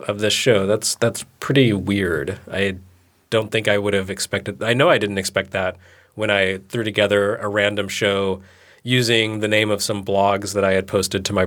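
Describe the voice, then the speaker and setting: A man co-hosting a podcast in casual chat, talking in a relaxed, rambling, self-deprecating way with a sleepy-sounding voice. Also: on the low side. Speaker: podcast co-host.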